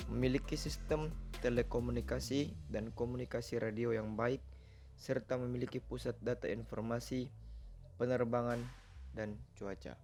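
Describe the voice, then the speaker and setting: A man narrates an educational explainer from a script. His voice sits at a medium pitch and his delivery is formal. Faint music plays behind him. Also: steady tone, normal speed, a little mellow.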